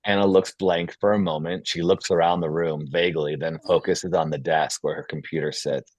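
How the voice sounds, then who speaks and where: A man's voice: deep voice, fairly even intonation, normal speed, dry recording. A man reading scene headings and action as scripted narration at a screenplay table read. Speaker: stage directions reader.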